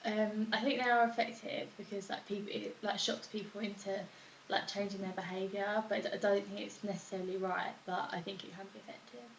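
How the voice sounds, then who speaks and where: A woman's voice: mid-range voice, little pitch movement, brisk, vocal fry. A woman answering interview questions in a casual, tentative way, padded with filler words. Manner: tentative, filler-heavy "like" phrasing. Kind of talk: casual chat. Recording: echoey room with faint hiss.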